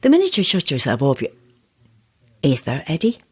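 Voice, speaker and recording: A husky, middle-aged woman, a sceptical writer with dry wit. Her pitch moves expressively while the humour stays deadpan, in audio drama style. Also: normal speed, exaggerated voice. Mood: wry, affectionate. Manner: wry, deadpan. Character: sceptical, dry-witted mature female horror novelist.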